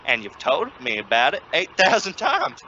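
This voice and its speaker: A woman's voice: deep voice, huge pitch swings, normal speed, bright, forceful tone, full character voice. A woman playing an exasperated, stubborn family father in an old-time radio sitcom, blustering, sarcastic and prone to stammer when cornered. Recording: muffled recording with clear noise and vehicle sounds.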